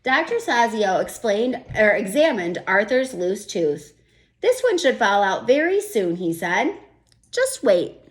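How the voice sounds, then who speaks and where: A woman's voice: mid-range voice, measured pace. A woman reading a children's picture book aloud and stopping to explain her thinking, like a teacher. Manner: gentle, expressive storybook reading with thinking-aloud asides. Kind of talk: children's read-aloud with comments.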